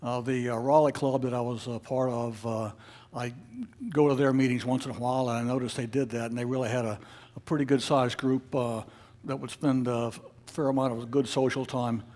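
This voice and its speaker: Man giving halting, informal club announcements. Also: medium pitch, flat delivery, a little mellow. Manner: halting, hesitant, unscripted delivery. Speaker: club officer leading a meeting. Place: club meeting room with an audience.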